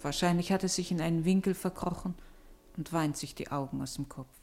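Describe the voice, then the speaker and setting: Actress as a low-voiced, anxious, tender mother. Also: brisk, darkish tone, soft background music, natural voice. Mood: worried, sad. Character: worried, tender mother fretting over her son. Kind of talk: German radio play acting.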